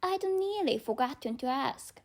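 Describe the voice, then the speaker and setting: A high, unhurried, playful cat from a children's storybook, acted out by a woman. It riddles and teases in deliberate phrases, with a non-native English accent and a touch of madness. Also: expressive, darkish tone. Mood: curious, breezy. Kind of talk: audiobook character reading.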